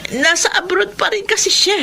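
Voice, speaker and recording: An actress playing a warm, excitable older grandmother in a Filipino radio drama, effusive and motherly. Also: very high voice, normal speed, creaky, slight background noise, exaggerated voice. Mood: overjoyed.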